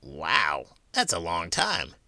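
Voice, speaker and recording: A ravenous tiger sidekick, played by a man, gruff and food-obsessed. He drawls slowly in a low voice. It is comic children's show banter with music behind. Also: huge pitch swings, pushed and bright, dry recording, exaggerated voice. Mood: hungry, eager.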